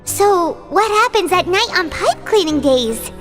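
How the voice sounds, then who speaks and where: A woman voicing a small, childlike, excitable fairy companion with a squeaky voice in a video game. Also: measured pace, soft background music. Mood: curious, chirpy. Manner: refers to herself in the third person, exclamatory.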